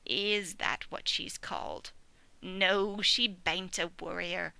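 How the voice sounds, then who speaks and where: A woman voicing a mournful, ailing old ewe in a storybook, with a slow, breathy, drawling way of talking. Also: lowish voice, steady tone, no echo, full character voice. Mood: grumbling. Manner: slow, drawling rustic speech with soft breathy murmurs. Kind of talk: storybook reading.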